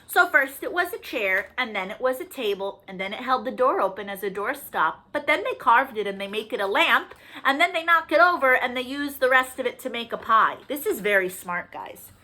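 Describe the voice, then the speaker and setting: A woman leading a children's storytime, reading aloud in an animated, sing-song way and checking in with young listeners through questions. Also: fairly high pitch, brisk, few pauses. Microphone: video call.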